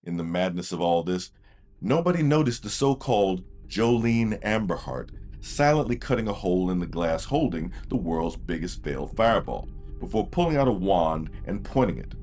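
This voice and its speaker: Male narrator for dramatic fantasy audio drama, low register, lively intonation, light music bed.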